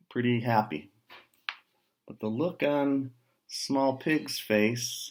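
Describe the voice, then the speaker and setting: A man reading a picture book aloud to young listeners, pausing between short phrases and adding casual asides and questions. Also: mid-range voice.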